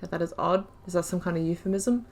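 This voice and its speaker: A woman's voice: fairly low pitch, quick pace, vocal fry, a little mellow, a little hiss or hum. A woman giving casual, chatty commentary on her video game playthrough, giggling and muttering asides. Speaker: gaming YouTuber. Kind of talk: casual let's-play commentary.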